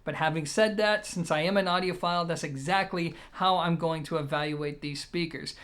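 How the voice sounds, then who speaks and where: A man with a notably high-pitched voice talks through a gear review for his viewers. His delivery is conversational and methodical, taking one point at a time as he explains what a product offers. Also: fairly even intonation, moderate pace, a bit bright.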